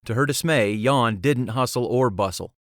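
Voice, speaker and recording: A man's voice: mid-range voice, moderate pace, no echo, studio quality. A man reading scripted fantasy storybook narration as an audiobook narrator.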